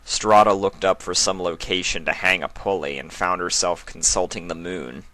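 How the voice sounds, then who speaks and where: A man's voice: deep voice, fairly even intonation, moderate pace, sharp tone, no room sound. A man reading a fantasy story aloud as its narrator, in a dramatic scripted style. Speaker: fan fiction narrator.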